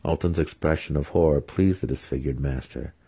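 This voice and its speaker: Male audiobook narrator for dark fantasy: very low register, husky texture, dramatic scripted delivery. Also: brisk.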